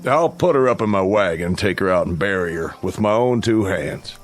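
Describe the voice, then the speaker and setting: In a western radio play, a rueful wagon driver. He has a fairly low American voice and a plain, folksy, apologetic way of talking. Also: lively intonation, moderate pace. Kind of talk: radio drama acting.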